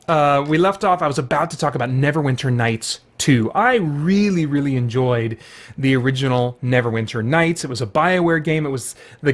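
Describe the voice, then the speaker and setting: A middle-aged man hosting a video series, talking casually and rambling about video games. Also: a little high.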